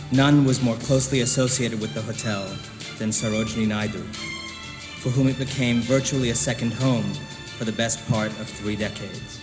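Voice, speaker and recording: A man reading a book excerpt in a formal, stately manner. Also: mid-range voice. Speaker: guest reader. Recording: hall with music behind.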